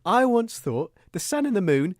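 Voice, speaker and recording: A naive, earnest comedy radio co-host who is easily flustered. His pitch swings wildly from low to high, anxious and apologetic, in a dry, close studio sound. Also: sing-song, moderate pace, a little mellow, no echo. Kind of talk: scripted comedy radio acting.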